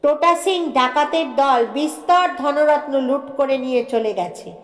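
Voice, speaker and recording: High-pitched woman storyteller, heavy reverb. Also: a little slow, faint music behind. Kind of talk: dramatic audiobook narration of a short story. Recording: echoey, long reverb.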